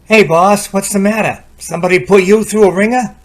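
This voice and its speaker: A man plays a breezy repairman hero of an old-time radio play. His voice is high for a man. He talks casually and cockily, full of slang and wisecracks. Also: fairly even intonation, brisk, natural voice. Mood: breezy, teasing. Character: breezy, cocky male repairman hero and storyteller.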